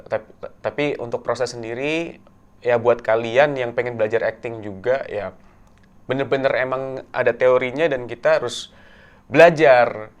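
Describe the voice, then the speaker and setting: A young man from Tegal, a guest in a casual interview, talking in a soft, halting way with many fillers. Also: medium pitch, clear, resonant tone. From Tegal, Central Java, Indonesia. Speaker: guest actor.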